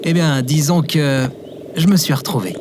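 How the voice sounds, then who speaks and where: A man's voice: fairly high pitch, moderate pace, background noise, lightly acted. A man playing a good-natured, bewildered everyman survivor in an audio drama, with a hesitant, naive way of talking. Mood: happy, serene.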